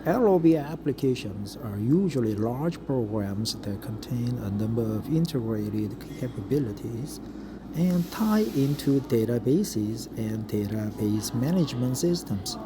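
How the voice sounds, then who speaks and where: A man's voice: mid-range voice, animated. A middle-aged man with a Korean accent and Korean as his first language reads an article aloud in English to practice, hesitating often with fillers and stopping to repeat phrases he likes. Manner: hesitant, frequent uh fillers, pauses to savor phrases. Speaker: English learner reading aloud. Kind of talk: self-study reading practice with commentary. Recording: noticeable background noise.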